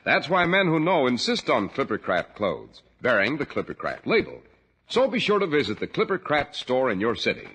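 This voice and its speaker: A man's voice: medium pitch, huge pitch swings, moderate pace, a bit bright, husky. An American man hosting and reading sponsor patter in the style of 1940s radio. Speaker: radio announcer and host.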